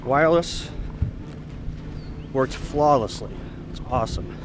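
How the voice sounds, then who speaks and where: A man's voice: medium pitch, animated, takes it slowly, noisy background. A man talking casually in a matter-of-fact, offhand way, giving short video-log updates as an amateur radio operator.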